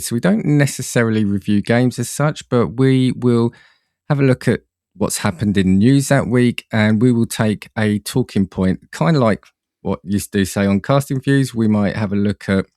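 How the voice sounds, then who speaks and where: A man's voice: mid-range voice, darkish tone, no room sound, studio quality. A man speaking casually as a guest on a podcast, chatting like a fellow podcaster. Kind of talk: casual chat.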